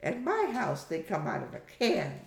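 A woman's voice: low voice, wildly swooping pitch, unhurried, creaky, heavy background noise, full character voice. A woman voicing a blunt, scornful, stout female dinner guest in an audiobook story. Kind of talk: audiobook character voice. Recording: roomy echo.

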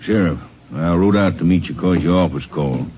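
Bitter cowboy rancher played by a man, American, deep and defensive, blunt country talk, muffled vintage radio western sound. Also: a little fast, lightly acted. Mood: defensive, indignant. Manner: blunt, rural western speech. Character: bitter, defensive male rancher under suspicion of murder. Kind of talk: old-time radio western acting. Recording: muffled, noisy 1950s radio recording.

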